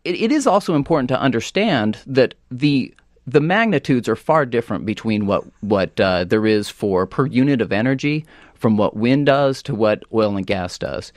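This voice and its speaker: An American man speaking as a policy guest on a radio talk show, often halting and rephrasing as he goes. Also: mid-range voice, expressive, no room sound, studio quality. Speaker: energy policy director, panel guest.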